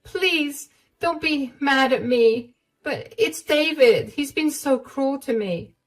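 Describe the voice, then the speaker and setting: A woman's voice: sits high, lively intonation, slow pace, recorded from a distance, pitched above the performer's own voice. A woman voicing a distressed young wife, pleading, shaky and apologetic in a storybook tale.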